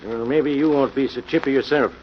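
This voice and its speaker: An actor playing an irascible, suspicious old sea captain in a radio mystery, domineering and scolding. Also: male voice, medium pitch, steady tone, brisk, natural voice. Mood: stern, accusing. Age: older. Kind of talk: 1940s radio mystery acting. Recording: dull, noisy old radio recording.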